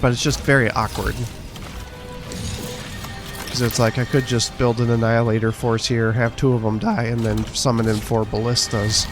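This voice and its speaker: A congested man recovering from flu walks through a strategy game in a relaxed, explanatory way, his voice weak and prone to cracking. He talks over loud game music. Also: mid-range voice, moderate pace. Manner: relaxed, explanatory, many fillers. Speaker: gaming channel host. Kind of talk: casual gameplay commentary.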